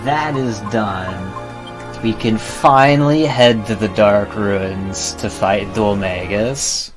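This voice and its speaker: Slow-talking, low-voiced man over game music. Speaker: live streamer playing a video game. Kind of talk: casual gameplay commentary. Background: video game music.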